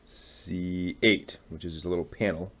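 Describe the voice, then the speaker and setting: A man casually talking through a hands-on hobby build, halting and muttering to himself as he works. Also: low voice, steady tone, a bit bright. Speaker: hobby channel host building a model kit on stream.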